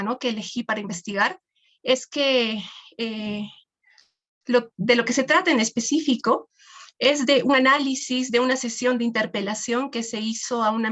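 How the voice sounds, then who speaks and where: A woman from Peru with a Peruvian accent, giving an academic research presentation over a video call, halting, with many "este" fillers and tag questions. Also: on the high side, fairly bright tone. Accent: Peruvian Spanish.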